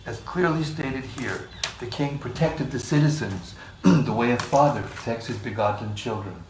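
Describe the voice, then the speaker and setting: A man leading a scripture class, reading passages in a measured way and joking with the group between them. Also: mid-range voice, a little mellow. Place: room with a live group. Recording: echoey room, distant mic, faint hiss.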